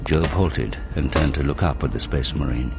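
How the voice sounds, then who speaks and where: A man's voice: rumbling low, moderate pace. A man giving grave, intense scripted narration for an audio drama. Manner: intense, grave, literary delivery. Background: prominent music and sound effects.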